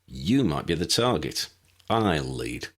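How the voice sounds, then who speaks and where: A man voicing a bold, protective and practical male friend in an audiobook story, hushed and decisive. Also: very deep voice, sing-song, takes it slowly, slightly rough, exaggerated voice. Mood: insistent.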